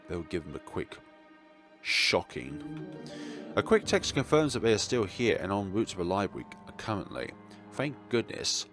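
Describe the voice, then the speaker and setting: Animated low-voiced man reading a game aloud. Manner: expressive, acts out dialogue, chuckles and stumbles over words. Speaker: let's play host. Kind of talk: reading a visual novel aloud with casual commentary.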